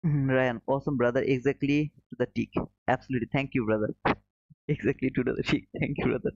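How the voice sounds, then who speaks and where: A man's voice: medium pitch, steady tone, a little fast, no echo, dull audio. Bangladeshi man chatting casually on a trading stream. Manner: friendly, calls viewers brother, frequent chuckles. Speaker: trading livestream host. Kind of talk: casual live chat reading viewer comments.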